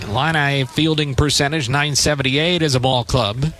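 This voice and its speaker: A man's voice: medium pitch, normal speed, music in the background. A man doing live radio play-by-play of a baseball game in short, punchy, descriptive phrases. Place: open-air stadium press perch.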